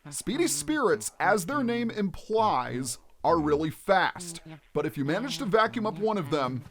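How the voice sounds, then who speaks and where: A man hosting a let's play, explaining the game in an expressive, instructional way. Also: sits high, measured pace.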